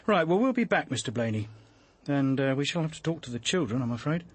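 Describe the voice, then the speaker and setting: An actor playing a polite but persistent police chief inspector in a radio drama, questioning formally with small hesitations. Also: male voice, medium pitch, big pitch swings, quick pace, husky. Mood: firm probing.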